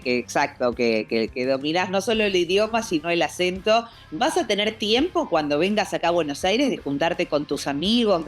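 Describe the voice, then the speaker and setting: A fairly low-voiced woman with an Argentine accent asks questions in a gentle, friendly way, pausing with small fillers. Faint music sits under her voice. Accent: Argentine Spanish. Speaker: radio interviewer. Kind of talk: casual interview.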